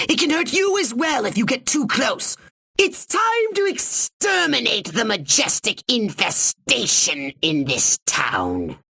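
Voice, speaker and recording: A man playing a pompous, sarcastic, irritable alien commander in a video game, with a shrill raspy voice and theatrical delivery. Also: sharp tone, exaggerated voice. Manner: pompous, haughty, melodramatic, sarcastic. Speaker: mission-briefing commander.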